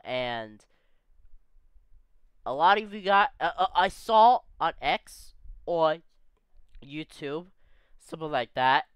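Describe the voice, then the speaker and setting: A man chatting casually as a YouTube reactor, excitable and rambling with lots of restarts, his voice a bit off from being sick. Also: fairly high pitch, clear, resonant tone, no echo. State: voice a bit off from sickness.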